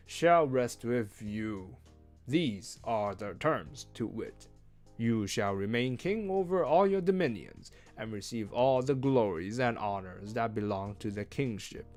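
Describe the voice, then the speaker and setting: A man's voice: mid-range voice, animated, measured pace, a little mellow, natural voice. A man voicing a grandiose storybook bluffer posing as a mighty sorcerer, imperious and declamatory. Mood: imperious bargaining. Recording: music behind.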